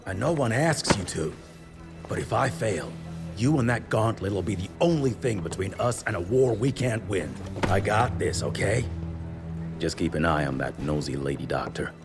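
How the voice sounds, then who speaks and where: Brief: steady folksy veteran, low and grave, over film music. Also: male voice, normal speed. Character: gruff seasoned male hunter veteran. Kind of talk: game cutscene acting. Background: music score.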